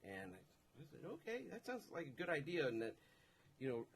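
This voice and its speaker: A man's voice: mid-range voice, wildly swooping pitch, fairly quick. A man whose first language is Spanish, a musician guest telling a story on a radio show. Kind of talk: casual storytelling.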